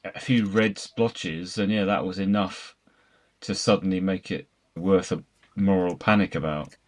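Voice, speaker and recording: A man's voice: low voice, steady tone, a little slow. A man giving opinions in casual podcast discussion, with descriptive, emphatic wording. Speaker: podcast panellist.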